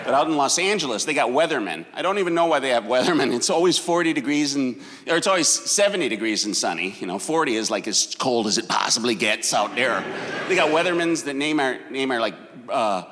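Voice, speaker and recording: A man from Wisconsin with a Wisconsin accent, performing a crude, animated stand-up comedy set on a club stage. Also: mid-range voice, brisk. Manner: animated, crude, jumps into exaggerated character voices. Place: comedy club stage before a laughing crowd. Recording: live hall with loud crowd noise.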